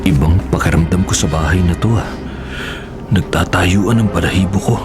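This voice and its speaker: An actor as a solemn, perceptive man in a radio horror drama. His voice is on the low side, earnest and deliberate, with music clearly behind him. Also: natural voice. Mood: uneasy. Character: grave, perceptive man advising on spirits and rituals.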